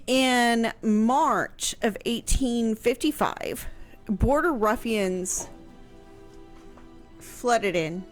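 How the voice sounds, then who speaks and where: A woman from Kansas explaining history on a radio talk show, speaking carefully and pausing often on fillers. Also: on the high side, soft background music. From Kansas, USA. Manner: slow, careful, halting with fillers.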